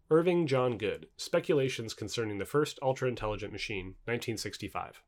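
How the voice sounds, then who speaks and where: A man reading scripted nonfiction aloud as an audiobook narrator, in a measured, articulate way with emphatic phrasing. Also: mid-range voice.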